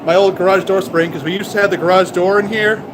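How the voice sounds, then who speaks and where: A man's voice: high-pitched, fairly even intonation, fast, noisy background. A man chatting casually to his live viewers while working in a small garage workshop, rambling and joking as he talks. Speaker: blacksmith streamer. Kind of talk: casual shop talk to live chat.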